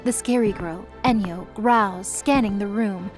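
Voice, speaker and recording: A woman's voice: mid-range voice, unhurried. A woman narrator reading a scripted dramatic story. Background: music bed.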